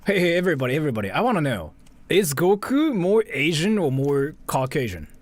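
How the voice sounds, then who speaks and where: A man chatting casually as a livestream host, rambling and haltingly repeating himself. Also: fairly high pitch, very animated, no room sound. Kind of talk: casual livestream chat and reaction commentary.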